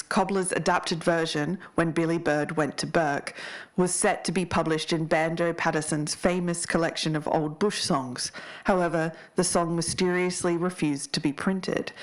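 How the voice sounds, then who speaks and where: A woman co-hosting a podcast, reading scripted narration. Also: on the low side. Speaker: podcast co-host.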